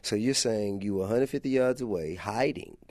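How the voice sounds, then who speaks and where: A man hosting a podcast interview, asking calm, deliberate questions to clarify a guest's story. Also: fairly low pitch, no echo, studio quality. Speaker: podcast host.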